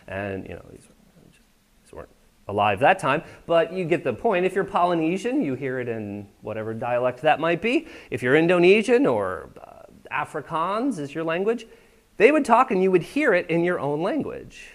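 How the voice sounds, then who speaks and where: Lively, conversational male preacher. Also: fairly high pitch, moderate pace. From United States. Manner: conversational, checks in with listeners often. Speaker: pastor. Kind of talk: sermon. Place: church sanctuary.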